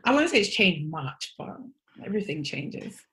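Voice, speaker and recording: A woman in her twenties from Angola, a podcast guest talking in an easygoing way, her voice trailing off softly at phrase ends. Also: on the low side, sing-song, normal speed. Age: 20s. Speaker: guest, gym franchise owner. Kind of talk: relaxed interview conversation.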